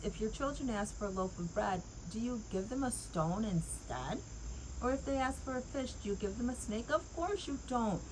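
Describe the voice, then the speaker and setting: A middle-aged woman giving a casual devotional talk and reading scripture in an earnest, encouraging, conversational way, often checking in with the listener. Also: medium pitch. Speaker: devotional host and coach. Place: outdoors in a leafy backyard garden. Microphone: clip-on lapel mic. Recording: clear outdoor insect noise throughout. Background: crickets and insects.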